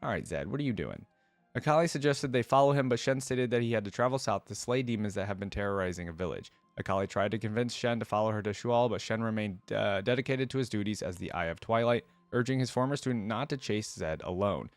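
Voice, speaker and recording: Low-voiced man reading and reacting. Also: quick pace, dry recording. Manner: casual, curious, thinking aloud. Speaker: host of a lore reaction video. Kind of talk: reading aloud with casual reaction commentary.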